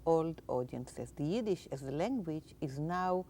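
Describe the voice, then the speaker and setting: Woman from Israel, theater teacher, measured speech, dark warm tone. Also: low voice, animated, slight background noise. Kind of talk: interview conversation.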